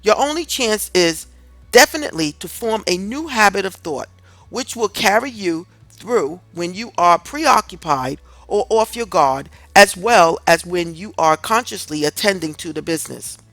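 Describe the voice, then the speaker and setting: A woman reads a scripted self-help audiobook in a deliberate, earnest, instructive way. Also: mid-range voice, animated, a bit bright, dry recording, rough recording. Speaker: audiobook reader. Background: music in the background.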